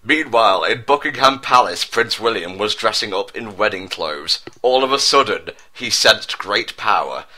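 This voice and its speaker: Bright, forceful man reading dramatically. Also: fairly low pitch, lively intonation, normal speed, hardly any pauses. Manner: amused, bombastic, swears. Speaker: reader of a comedic dramatic reading. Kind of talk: mock-dramatic scripted narration with amused asides.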